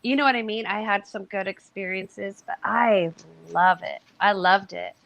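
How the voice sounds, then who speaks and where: A woman's voice: mid-range voice, normal speed, a bit bright, muffled recording. A woman in her forties from California, chatting casually in a group and teasing playfully. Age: 40s. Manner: laughs often, playful teasing.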